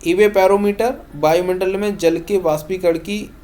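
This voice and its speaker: An exam-prep teacher with a mid-pitched voice. The delivery is instructional, like notes read aloud, with each question posed and then answered briefly. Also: steady tone, normal speed, mellow.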